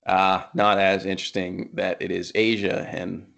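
Gravelly low-voiced man joking around. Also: flat delivery, a little slow, a bit bright. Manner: joking, laid-back banter. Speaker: podcast host. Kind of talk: casual chat. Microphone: video call.

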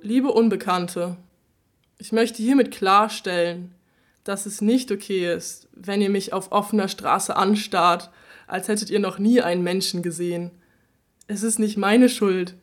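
A performer in a radio play speaks a scripted monologue in a firm, confrontational tone, addressing strangers head-on. The voice sits in a middle pitch range.

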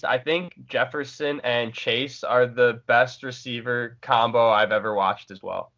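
A man co-hosting a casual sports podcast, measured and conciliatory, often restarting his sentences. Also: medium pitch, steady tone, a bit bright, highs cut off. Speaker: podcast co-host.

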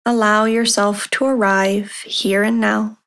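A woman guides a meditation in a calm, very slow voice. Her pitch sits in the middle and barely moves. She gives measured instructions and leaves long pauses between short phrases. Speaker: meditation guide, podcast host. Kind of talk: guided meditation, body scan.

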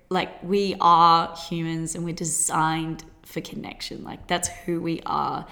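A woman in her twenties with an Australian accent, hosting a solo podcast in a bubbly, chatty way with quick laughs. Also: lowish voice, fairly bright tone. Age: 20s. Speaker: podcast host.

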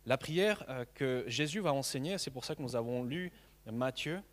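A man in his thirties from Mexico, a native Spanish speaker, preaching with earnest conviction, often stumbling over and repeating small words. His voice sits at an ordinary middle pitch. The sound carries a long reverb, as in a large church hall. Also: expressive, moderate pace. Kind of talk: sermon.

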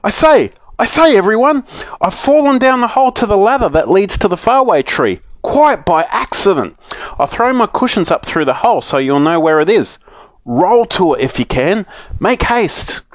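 A man doing an excitable storybook creature, Moonface. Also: on the high side, measured pace, no echo, pitched above the performer's own voice. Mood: excited. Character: male fairy-tale creature, fretful and excitable.

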